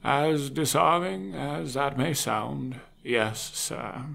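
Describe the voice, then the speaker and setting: Wise, wry butler, male actor, audio drama. Formal, deferential, very unhurried, creaky voice. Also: medium pitch, fairly bright tone, full character voice. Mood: patient, wry.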